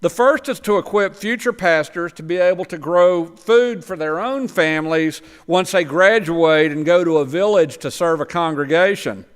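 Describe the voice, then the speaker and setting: A man's voice: sits high, normal speed, hardly any pauses, clear, resonant tone. A man gives a plain, explanatory church presentation about farming work with slides, sometimes tripping over his words. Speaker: missionary agriculture lecturer. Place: church sanctuary. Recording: long church reverb.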